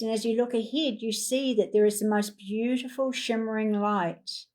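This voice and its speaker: A woman leading a guided healing meditation in a soothing voice, speaking in repeated gentle affirmations. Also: medium pitch.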